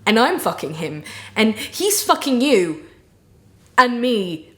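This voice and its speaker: A woman playing a guilt-ridden, flustered young woman in a naturalistic dramatic monologue, halting and rambling as she confesses. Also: a little high, sing-song, echoey room. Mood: hurt, accusing. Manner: halting, rambling, blurting, with nervous laughter. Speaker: acting student.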